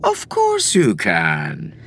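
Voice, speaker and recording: Deep, creaky, macabre man. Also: wildly swooping pitch, drawn-out words, fairly bright tone, slight background noise, exaggerated voice. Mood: smug. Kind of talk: comedy audio drama acting.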